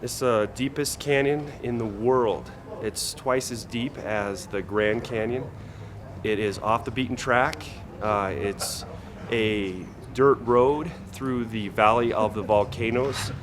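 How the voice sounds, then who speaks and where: A man's voice: mid-range voice, slow pace, crowd noise. A man who is not a native English speaker, talking casually for a travel vlog and searching for words at times. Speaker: motorcycle tour scout.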